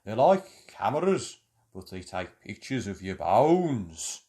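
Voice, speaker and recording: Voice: medium pitch, very animated, slow, mellow, pitched below the performer's own voice. A storyteller voicing a bossy little girl animal from a children's book, firm and instructive.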